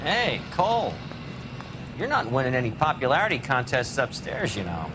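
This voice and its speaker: An actor playing a helpful, frank police forensics colleague in a video game, talking in a matter-of-fact, conversational way. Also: male voice, mid-range voice, very animated, normal speed, noisy background, close to an everyday voice. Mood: frank, confiding. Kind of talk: cinematic game dialogue.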